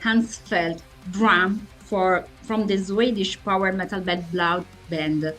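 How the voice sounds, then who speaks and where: Lady interviewer, really slow n hesitant, music behind her. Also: medium pitch, steady tone, a bit bright, low-quality recording. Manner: hesitant, stumbling delivery with searching pauses. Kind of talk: prepared interview questions.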